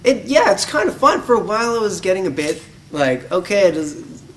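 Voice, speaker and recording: Male actor in his thirties, higher-pitched, jokey and casual, far mic. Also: very animated, normal speed, a bit bright, slight background noise. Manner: self-deprecating, chatty, laughing. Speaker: actor guest. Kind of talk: casual interview answers. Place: convention press room.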